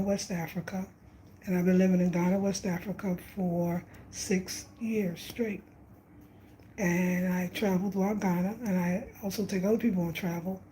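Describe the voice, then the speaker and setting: Reflective woman in her fifties, mellow. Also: on the low side, slight background noise, rough recording. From Brooklyn, New York. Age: 50s. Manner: animated, reflective, repeats phrases for emphasis. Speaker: travel vlogger. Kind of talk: casual spiritual reflection, livestream.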